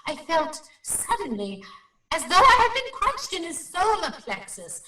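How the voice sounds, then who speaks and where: High-voiced, dramatic psychic investigator. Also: female voice, huge pitch swings, a little slow, bright, forceful tone, exaggerated voice. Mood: breathless, grave. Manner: formal, precise, dramatic reporting. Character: solemn, self-important female psychic investigator. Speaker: actor playing a paranormal investigator. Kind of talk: radio drama acting. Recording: roomy, slightly distant microphone.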